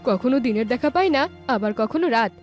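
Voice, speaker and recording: Cartoon village mother, played by a woman: slightly high, sensible, worried, scolding, over music. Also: lively intonation, quick pace, natural voice. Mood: baffled. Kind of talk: animated folk-tale cartoon dubbing. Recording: music behind the voice.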